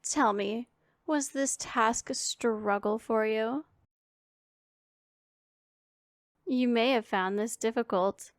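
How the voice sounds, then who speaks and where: Woman playing a stern, unhurried demon woman. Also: a little high, no echo, clean studio sound. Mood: stern, demanding. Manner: authoritative, formal, imperious diction. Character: stern, commanding yet secretly caring powerful female demon. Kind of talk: scripted ASMR roleplay addressed to the listener.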